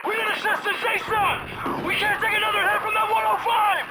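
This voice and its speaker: Desperate high-voiced soldier in gunfire. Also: male voice, flat delivery, moderate pace, a loud crowd. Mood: desperate, urgent. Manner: urgent, loud repeated reports. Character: desperate, urgent male soldier calling for backup. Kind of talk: video game combat radio acting. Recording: loud gunfire and radio noise.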